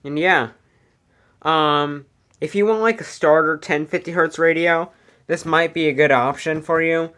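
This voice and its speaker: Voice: medium pitch, unhurried, fairly bright tone. Casual, rambling American hobbyist presenter. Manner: rambling, informal, matter-of-fact. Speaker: weather radio collector presenting a collection. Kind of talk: casual product showcase vlog. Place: indoor room at home.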